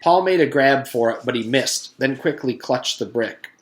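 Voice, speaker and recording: A man's voice: medium pitch, normal speed, a little hiss or hum. A man, a teacher, reading a storybook aloud.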